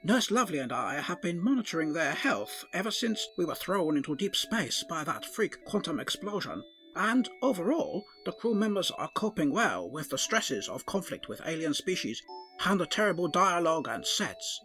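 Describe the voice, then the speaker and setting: A man's voice: a little high, very animated, moderate pace, a bit bright, faint music behind. A man voicing a fussy, earnest male ship's doctor who speaks formally in an odd, blended put-on accent, for a comic audio drama.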